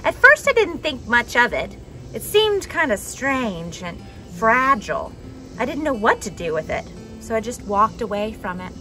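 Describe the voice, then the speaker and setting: Animated, high-pitched young woman reading a storybook. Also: faint music behind, rough recording. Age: young adult. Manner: animated, dramatic pauses and emphasis. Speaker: storybook reader for a children's church message. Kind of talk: expressive children's read-aloud narration. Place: outdoors on a wooden deck among trees.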